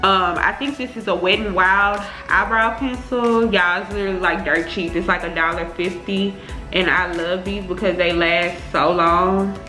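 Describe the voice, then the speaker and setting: A woman's voice: fairly low pitch, steady tone, moderate pace, bright, forceful tone, echoey room, faint music behind. A young woman chatting casually to her viewers in informal slang, sounding congested from a cold. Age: young adult. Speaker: beauty vlogger. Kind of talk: casual chatty get-ready-with-me talk.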